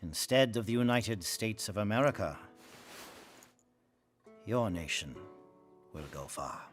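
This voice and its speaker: An actor playing a dignified American statesman, a gracious loser, measured and formal, in a video game cutscene. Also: lowish voice, animated, soft background music. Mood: resigned.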